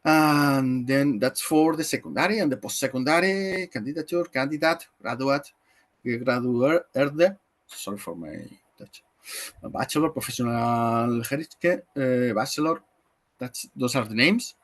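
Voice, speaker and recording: Brief: Spanish-accented man, webinar trainer, mid-pitched, conversational, lots of fillers and restarts, video-call sound. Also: expressive, a little slow. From Spain. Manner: conversational, many fillers and self-corrections. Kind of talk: explanatory webinar talk.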